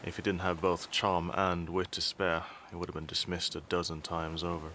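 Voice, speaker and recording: Audio drama casting: male actor as deep-voiced, dryly unbothered father and statesman, measured and formal, background noise. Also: monotone, pushed and bright. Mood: cool, wry. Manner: measured, formal, dry wit.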